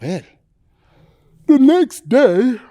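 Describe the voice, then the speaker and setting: A woman telling a children's bedtime story theatrically, with dramatic, drawn-out phrasing and suspenseful pauses. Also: high-pitched, very animated, dark tone, no room sound.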